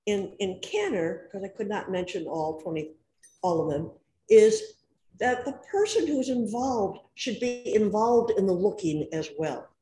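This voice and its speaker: A woman's voice: medium pitch, warm tone. Chatty woman in an echoey room. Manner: conversational, quick to jump in. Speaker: senior services panelist. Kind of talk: panel discussion on a video call. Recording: echoey room, faint background noise.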